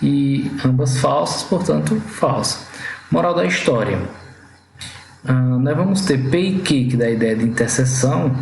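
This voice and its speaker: A man's voice: mid-range voice, expressive, gravelly, a little mellow, echoey room, faint music behind, rough recording. A Brazilian man teaching an exam-prep logic lesson at a whiteboard, explaining step by step and often checking in with the students. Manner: didactic, frequent "tá?" and "né?" checks, slows on key words.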